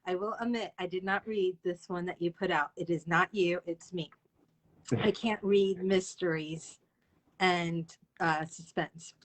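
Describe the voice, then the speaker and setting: A woman's voice: fairly low pitch, steady tone, a little slow, rough recording. A woman hosting a casual, informal live interview, chatting freely and often laughing as she talks. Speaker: interview host.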